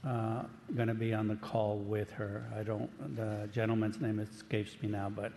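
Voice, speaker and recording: Man, Cuban accent, meeting chair; monotone, halting delivery; reverberant council chamber. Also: lowish voice. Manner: plain, unhurried, halting with fillers. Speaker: planning commission chair. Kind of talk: running a public meeting. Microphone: desk microphone up close. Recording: long hall reverb, faint room noise.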